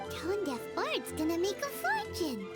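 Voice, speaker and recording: A woman voicing a tiny, excitable, cheeky floating fairy companion in a video game, talking like a child. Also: very high-pitched, normal speed, loud music behind the voice, heavily acted. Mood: grumbling, curious. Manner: speaks of herself in third person, exclamatory.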